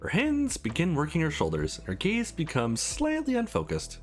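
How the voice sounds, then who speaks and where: A man's voice: on the high side, normal speed, faint music behind. A man hosting a let's play, reading a visual novel aloud expressively with casual commentary. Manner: expressive, acts out dialogue between narration.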